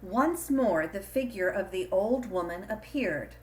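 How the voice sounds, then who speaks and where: A woman reading a scripted children's storybook in an expressive, deliberate storytelling manner. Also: mid-range voice. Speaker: storybook reader.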